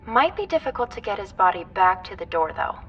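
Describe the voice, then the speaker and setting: An actress playing a practical, chatty crew technician in a video game, conversational and a little dryly apologetic. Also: female voice, mid-range voice, sing-song, fairly quick, husky, far from the mic, highs cut off, soft background music. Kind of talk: video game character acting.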